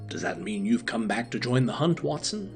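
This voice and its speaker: A man's voice: mid-range voice, lively intonation, normal speed, creaky, soft background music. Audio drama: aging sleuth, male actor. Mid-range, clipped, aloof, superior, cerebral. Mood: aloof, musing.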